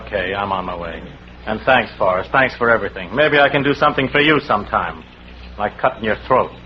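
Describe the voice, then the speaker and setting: An actor playing a bitter, ambitious hard-boiled newspaper reporter in 1940s radio drama style. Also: male voice, lowish voice, brisk, lightly acted. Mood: sardonic. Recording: muffled, hissy old radio recording.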